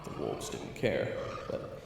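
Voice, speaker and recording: A man's voice: slow, heavy background noise. A man narrating a fantasy audio roleplay as a dramatic, scripted second-person story. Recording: very echoey, long cavernous reverb. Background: game-style background music.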